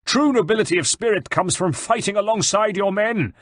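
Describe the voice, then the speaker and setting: A man voicing a gruff, blunt, hot-tempered Italian mercenary general in an audiobook, growling and impatient. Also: on the high side, expressive, normal speed, dry recording, clean studio sound, voice pushed up. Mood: angry defiance. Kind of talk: dramatised audiobook narration.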